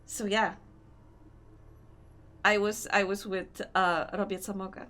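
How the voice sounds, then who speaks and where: A woman's voice: medium pitch, little pitch movement, slow, a bit bright, soft background music. A young woman with a Polish accent chatting casually with her stream chat while gaming, laughing often and answering comments. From Poland.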